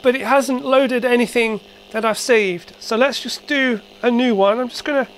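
A hobbyist host giving a casual hands-on demonstration, halting and matter-of-fact, thinking aloud while working. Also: medium pitch, slight background noise, rough recording. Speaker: host, retro computer hobbyist. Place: indoor cluttered workshop.

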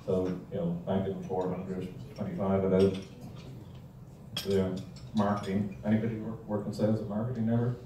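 An older man with an Irish accent gives an informal, humorous slide lecture. His voice is dark and relaxed, wandering drily through his anecdotes. It is captured at some distance in a strongly reverberant room with faint noise. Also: low voice, normal speed. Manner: rambling, wry storytelling. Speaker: club talk speaker. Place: indoor hall with projection screen. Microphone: handheld or stand microphone, recorded from audience camera. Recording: echoey room, distant mic, noisy background.

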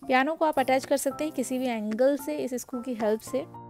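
A woman's voice: high-pitched, fairly quick, darkish tone, faint music behind. A young woman chatting casually as she reviews a baby toy, explaining its features and mixing English phrases into her talk. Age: young adult. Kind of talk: casual product review, Hindi mixed with English. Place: small bedroom indoors.

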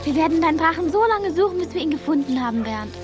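A woman's voice: sits high, animated, fast talker, over music. An actress playing a caring, determined young heroine girl in a children's radio play, eager and earnest.

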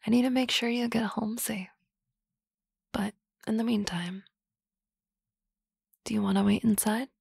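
A woman playing a teasing, confident office boss in a soft, close, whispered ASMR roleplay. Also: a little high, steady tone, a little slow, gravelly, pauses often, husky, dry recording.

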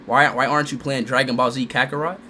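A young man livestreaming a game, excitable and loud, talking straight to his chat and repeating himself. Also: mid-range voice, fast talker, slightly rough. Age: young adult. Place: bedroom streaming setup, indoors.

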